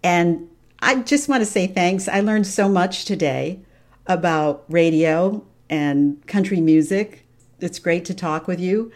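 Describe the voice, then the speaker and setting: Lower-voiced woman asking interview questions. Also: takes it slowly, a little mellow. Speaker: podcast interviewer.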